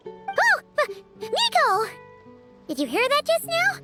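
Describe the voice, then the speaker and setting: A woman voicing a tiny, excitable childlike fairy companion in a video game, with a squeaky, chirpy and nervous delivery. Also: slow pace, heavily acted. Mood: flustered. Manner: speaks of self in third person, exclamatory. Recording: music behind the voice.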